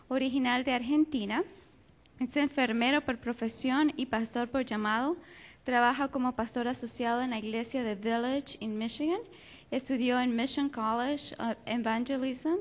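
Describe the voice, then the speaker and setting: High-voiced woman moderating a youth panel.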